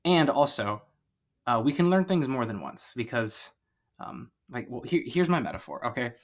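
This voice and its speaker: A man's voice: mid-range voice, sing-song, moderate pace. A man teaching a history lesson in a conversational, rambling way, with frequent fillers and asides. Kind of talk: classroom lecture.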